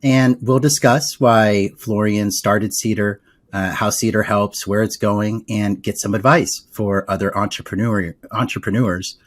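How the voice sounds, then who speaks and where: A man's voice: on the low side, fairly even intonation, measured pace. A man hosting a podcast, reading a polished intro and then interviewing a guest. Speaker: podcast host.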